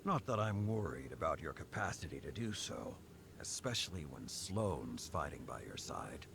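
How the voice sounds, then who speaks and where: Old warrior lord, extremely deep, gravelly, measured, noisy game audio. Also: male voice, big pitch swings, full character voice. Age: older. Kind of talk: game cutscene dialogue.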